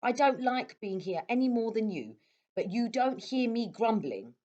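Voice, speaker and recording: Woman as an animated, high-pitched little storybook girl. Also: normal speed, muffled recording. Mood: scolding. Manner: exclaiming, expressive. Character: lively, bright-spirited young girl in a children's storybook.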